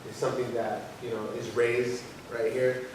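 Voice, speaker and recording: A man explaining in a reflective discussion, halting and repeating phrases for emphasis, recorded in a large room on a distant microphone. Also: mid-range voice, little pitch movement. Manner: halting, searching, emphatic repetition. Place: large indoor arts-center room. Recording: very echoey room, distant mic, background noise.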